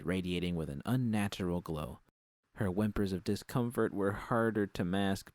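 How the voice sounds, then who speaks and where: A man with a deep, gravelly voice reading first-person fiction as scripted narration. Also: darkish tone, no room sound. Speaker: audiobook narrator.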